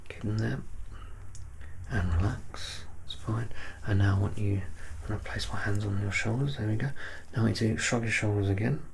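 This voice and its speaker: A man with a lowish, gravelly voice speaks softly, sometimes close to a whisper, as an examiner in an ASMR roleplay. He guides the listener gently through each step and reassures them. Clear background noise sits behind the voice. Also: normal speed, poor audio. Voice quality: soft-spoken, at times near whisper. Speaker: ASMR performer playing a medical examiner. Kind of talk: ASMR roleplay, soft-spoken clinical exam.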